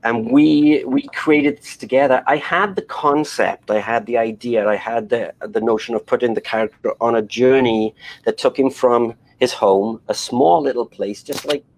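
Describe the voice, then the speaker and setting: A man's voice: medium pitch, normal speed, vocal fry, rough recording. A middle-aged man from Wales, an animation director being interviewed, who talks in a rambling way with many restarts and fillers. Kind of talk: conversational interview.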